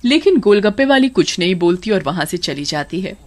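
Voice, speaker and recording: A woman's voice: mid-range voice, big pitch swings, fairly quick. A woman storyteller reading scripted narration for a village tale.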